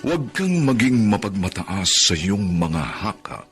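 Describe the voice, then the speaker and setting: A man's voice: lowish voice, sing-song, a little slow, fairly bright tone, faint music behind. A man with a Filipino accent narrating, reciting scripted verse in a solemn style.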